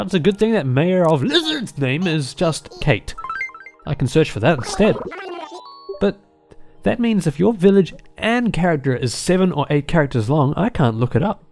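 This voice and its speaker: A video game commentator giving casual gameplay commentary in a playful, exclamatory way, with rambling asides. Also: mid-range voice. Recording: game music behind voice. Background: video game music.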